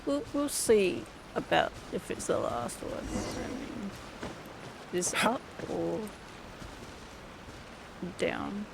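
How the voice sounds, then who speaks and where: A woman's voice: medium pitch, very animated, takes it slowly, vocal fry, pauses often, background noise. A woman game streamer giving casual livestream commentary in wry, muttered asides. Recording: game music under the voice. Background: game music.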